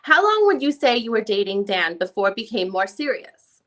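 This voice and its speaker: A woman's voice: a little high, sing-song, normal speed, a bit bright. A woman playing a female attorney, putting formal, methodical questions as she reads a deposition transcript aloud. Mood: matter-of-fact. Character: probing female defense attorney questioning a witness. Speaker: reader in an online dramatic reading.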